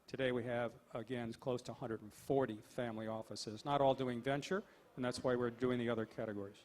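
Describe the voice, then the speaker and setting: A man's voice: mid-range voice, fairly even intonation, fairly quick, darkish tone. A man speaking on a panel about investing, explaining in a businesslike way. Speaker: panelist, angel investor group leader. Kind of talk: panel discussion.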